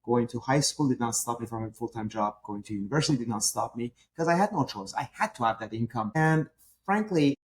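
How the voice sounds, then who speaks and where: A man's voice: medium pitch, a little hiss or hum. Emphatic middle-aged man, Persian accent. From Iran. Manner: emphatic, rhetorical. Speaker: guest. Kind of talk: podcast interview.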